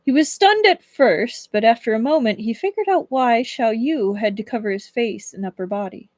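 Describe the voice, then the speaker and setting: A woman livestreaming as a book reader, with expressive storybook narration and casual chat. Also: on the high side, moderate pace, no echo.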